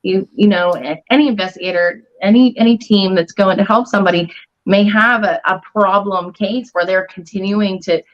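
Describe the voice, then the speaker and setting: A woman's voice: mid-range voice, fairly even intonation, normal speed. A woman with a mid-range voice explains her ideas articulately on a podcast. Her audio sounds dull and lo-fi. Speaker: podcast guest or co-host. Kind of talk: casual podcast talk.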